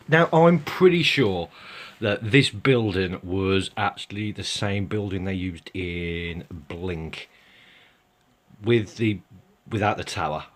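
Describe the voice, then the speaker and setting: A man with a Birmingham accent giving a casual, rambling TV episode review, full of fillers and jokey asides to viewers. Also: on the low side. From England.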